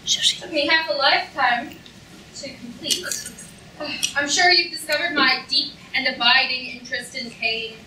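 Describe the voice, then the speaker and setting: A woman playing a polite, sadistic torturer count, deliberate and clinical, in a staged reading of a comic play. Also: high-pitched. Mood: clinically menacing. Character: courteous, sadistic torturer count, coolly scholarly. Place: auditorium stage, recorded from the audience. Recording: echoey hall, distant, noisy audience room.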